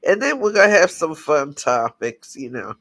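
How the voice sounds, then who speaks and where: A raspy-voiced man from the United States, hosting a casual livestream talk and speaking in a halting way with many restarts. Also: on the high side, big pitch swings, fairly bright tone, no room sound. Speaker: channel host.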